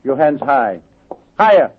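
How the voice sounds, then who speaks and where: A man's voice: mid-range voice, very animated, a little slow, breathy edge, narrow, tinny audio. A suave thief, silky and coldly menacing, swinging his voice up and down. The sound is a thin old radio broadcast. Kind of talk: 1940s radio acting.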